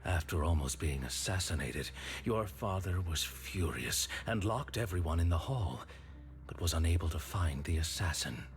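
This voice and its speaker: A cool, low-voiced accuser in a video game, calmly laying out another's crimes. His tone is level and unhurried, with faint music behind. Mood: accusing. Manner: calm, accusatory.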